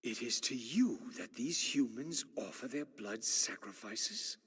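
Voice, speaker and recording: An actor playing a grim undead wraith in a video game, speaking formally and intensely in a husky, rasping voice. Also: male voice, mid-range voice, expressive, a little slow, fairly bright tone, heavily acted. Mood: grim, disbelieving. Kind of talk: dramatic video game acting. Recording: long reverberant hall.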